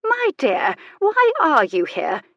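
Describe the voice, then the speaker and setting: A woman acts out a bedridden old noblewoman from a storybook. She is haughty and sharp-tongued, teasing with dry, theatrical relish. The sound is close and dry, with a raised, emphatic delivery. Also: high-pitched, wildly swooping pitch, slow, no echo, dull audio, pitched above the performer's own voice, full character voice. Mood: imperious, wry. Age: older. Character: elderly bedridden aristocratic lady, sharp-tongued and mischievous.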